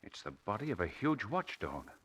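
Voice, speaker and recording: An actor playing a calm, commanding gentleman sleuth, measured and authoritative, in 1940s radio acting style. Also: fairly low pitch, a little hiss or hum. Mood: calm, cautious.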